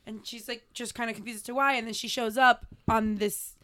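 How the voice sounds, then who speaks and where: A woman's voice: fairly high pitch, fairly even intonation, fairly quick, a bit bright. A woman co-hosting a casual chat, recapping a TV episode in a rambling way with lots of fillers. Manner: rambling, filler-heavy storytelling. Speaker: podcast co-host.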